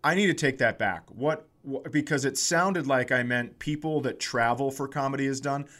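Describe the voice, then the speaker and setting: A man's voice: mid-range voice, normal speed, fairly bright tone. Casual middle-aged man telling comic stories. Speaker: comedian and podcast host. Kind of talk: casual comedic podcast chat.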